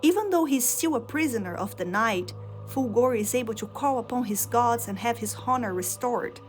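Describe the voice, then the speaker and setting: Measured Portuguese-speaking woman narrating lore. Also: on the high side, lively intonation, soft background music, poor audio. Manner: measured storytelling delivery in short phrases. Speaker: video game lore narrator.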